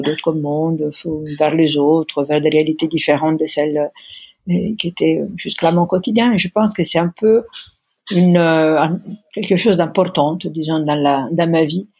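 A woman's voice: sits low, dark tone, husky. A middle-aged woman from Italy, speaking with an Italian accent in a podcast interview, hesitant and often searching for words. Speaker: interview guest.

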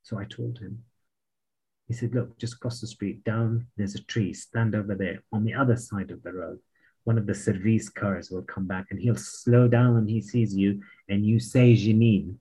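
A husky man with a British accent tells his stories with energy, slipping into the voices of the people he met. His voice is a little breathy, and he laughs easily as he goes. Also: fairly low pitch, normal speed, low-quality recording. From Palestine. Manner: animated anecdotes, laughs often, acts out voices. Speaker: memoir author guest. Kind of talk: storytelling in an interview.

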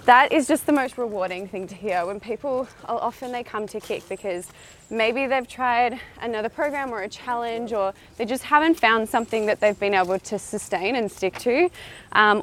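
A woman, an entrepreneur answering as a guest in a casual interview, earnest and chatty and full of "like" and "kind of", while walking outdoors in a park. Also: medium pitch.

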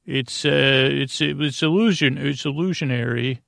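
A man with a creaky voice hosting a sleep podcast, talking in a meandering, halting, repetitive drone meant to lull listeners to sleep. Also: mid-range voice, fairly bright tone, no echo, studio quality. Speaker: podcast host.

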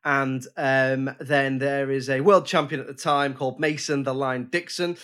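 A man's voice: mid-range voice, flat delivery, normal speed, a bit bright. A man co-hosting a film podcast, explaining his pick in a loose, rambling way with frequent restarts. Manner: rambling, hesitant with many restarts. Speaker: podcast co-host. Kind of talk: casual chat.

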